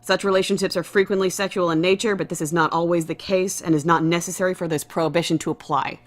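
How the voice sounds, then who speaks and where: Fast-talking, by-the-book woman as a female officer. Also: on the low side, fairly even intonation, lightly acted. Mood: formal. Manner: formal, procedural, unyielding. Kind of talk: naturalistic audio drama acting.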